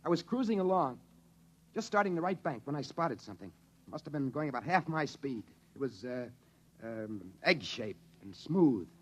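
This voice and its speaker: An actor playing a shaken, earnest rocket test pilot in a 1950s radio drama, halting and insistent. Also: male voice, mid-range voice, very animated. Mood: urgent, shaken. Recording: dull, band-limited old radio recording.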